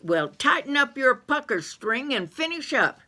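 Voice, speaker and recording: A woman's voice: sits high, sing-song, a little slow, sharp tone, voice pushed up, exaggerated voice. A woman voicing a folksy, no-nonsense grown woman with a dry, bossy manner.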